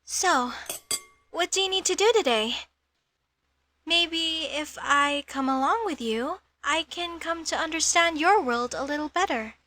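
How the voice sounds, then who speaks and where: A young elf mage girl, acted by a woman, speaks in a high, bright voice at an unhurried pace. She is formal and stilted, full of questions and quick to get indignant or flustered, as in a comedic audio roleplay. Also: slight background noise. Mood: curious, earnest.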